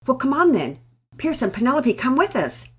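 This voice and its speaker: A woman voicing a steady, kind father who gives calm, measured advice. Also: sits high, slightly rough, pitched above the performer's own voice, exaggerated voice. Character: steady, kind father, middle-aged man.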